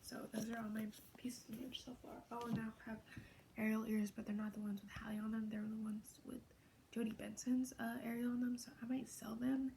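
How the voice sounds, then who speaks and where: Teen girl fan vlogger, soft half-whisper, gravelly fry, flat gushing delivery, noisy recording. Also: a little high, a little fast. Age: late teens. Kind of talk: casual fan vlog.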